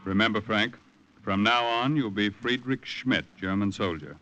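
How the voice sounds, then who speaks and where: An actor playing a sober, authoritative American colonel in a 1950s radio drama, speaking in a measured military manner. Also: male voice, lowish voice, background noise, lightly acted. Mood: grave. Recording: dull vintage radio recording.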